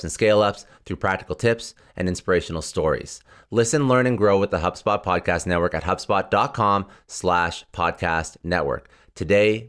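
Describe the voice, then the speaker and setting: A man hosting an interview podcast, reading his introduction in a measured way. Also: deep voice, fairly bright tone, clean tone. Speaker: podcast host. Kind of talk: scripted intro.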